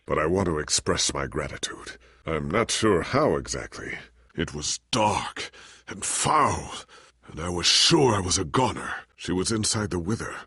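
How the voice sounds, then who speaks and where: A gravelly, weary warrior hero. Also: male voice, sits low, expressive, unhurried, bright, forceful tone, no room sound, clean studio sound, exaggerated voice. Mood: somber. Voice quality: raspy, gravelly. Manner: formal, earnest, dramatic phrasing. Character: weary, confused, humbled male warrior hero. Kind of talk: dramatic video game voice acting.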